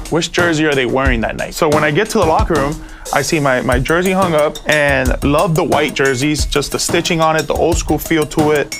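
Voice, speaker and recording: A man, a ballplayer, telling a first-person anecdote in a conversational way for a radio spot. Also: fairly high pitch. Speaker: baseball player telling an anecdote. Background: music bed.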